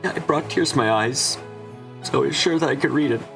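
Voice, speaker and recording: A man's voice: mid-range voice, brisk, vocal fry, natural voice. Earnest young man acted by a man over music. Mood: tender, sorrowful. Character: earnest, remorseful young man narrating his own story. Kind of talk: dramatic first-person visual novel narration.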